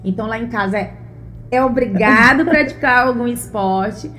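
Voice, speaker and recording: A woman's voice: on the high side, moderate pace, fairly bright tone, faint music behind. Earnest woman, thoughtful interview guest. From Bahia, Brazil. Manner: thoughtful, many fillers and restarts, earnest. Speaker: interview guest, mother and former gymnast. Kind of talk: relaxed interview conversation.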